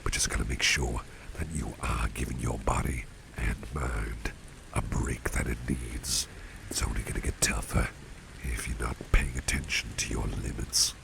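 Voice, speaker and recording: Guy doing kindly posh-mannered boss for asmr, super low croaky half-whisper, steady ambient noise behind him. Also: full character voice. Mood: caring, encouraging. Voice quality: very deep, gravelly, breathy half-whisper. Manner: genteel, unhurried, repeats phrases for emphasis. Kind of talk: ASMR roleplay, soft-spoken personal attention.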